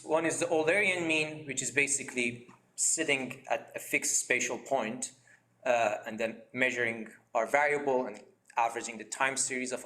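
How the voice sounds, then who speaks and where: A man's voice: mid-range voice, a bit bright, roomy sound. A man giving an academic seminar talk over a video call, explaining slides with frequent fillers and restarts. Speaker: seminar speaker.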